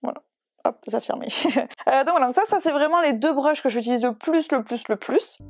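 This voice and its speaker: A woman's voice: high-pitched, normal speed, dry recording. A young woman illustrator giving a casual drawing tutorial, chatty and self-deprecating, with many hesitations. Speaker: digital illustrator.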